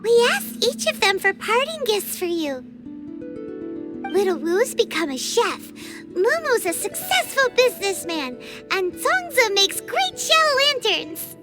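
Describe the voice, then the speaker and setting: Squeaky tiny floating sidekick, very high, animated, blunt, curious, game acting, music behind. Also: female voice, measured pace, full character voice. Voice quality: squeaky, childlike. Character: small high-voiced childlike floating companion, blunt and curious.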